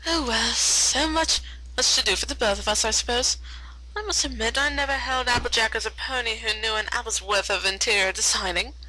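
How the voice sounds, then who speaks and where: A woman's voice: fairly high pitch, brisk, bright, forceful tone, low-quality recording. A woman voicing a fussy, dramatic, genteel female fashion-designer pony in a theatrical, refined manner for a story reading. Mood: fussy, exasperated. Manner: theatrical, refined, gushing endearments.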